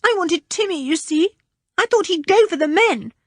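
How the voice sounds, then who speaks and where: A woman's voice: extremely high pitch, lively intonation, moderate pace, no room sound, studio quality, pitched above the performer's own voice. A woman voicing a frightened young boy telling in a rush how he fled from men chasing him, for a storybook reading.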